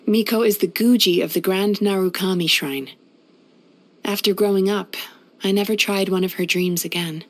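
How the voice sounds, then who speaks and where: A woman voicing a gentle, wistful, centuries-old yokai healer in a video game, speaking softly in a measured, polite, reflective way. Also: mid-range voice, natural voice. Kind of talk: scripted video game character voice-over.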